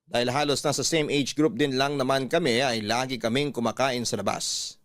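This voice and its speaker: A man's voice: mid-range voice, fairly even intonation, normal speed, fairly bright tone, studio quality, faint music behind. Male storyteller with echoing voice. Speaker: radio drama narrator. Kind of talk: scripted first-person story narration.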